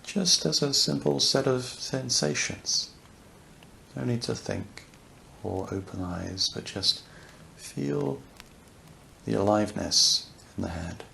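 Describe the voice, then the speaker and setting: A man leading a guided yoga nidra relaxation, speaking softly and soothingly, unhurried, with long pauses. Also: medium pitch, expressive, creaky. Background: crackling fireplace ambience.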